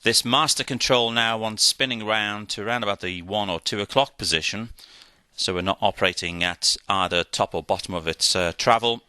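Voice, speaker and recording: A man's voice: lowish voice, moderate pace, sharp tone, smooth, no echo. A man giving a methodical, step-by-step instructional equipment demonstration. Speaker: product demonstrator.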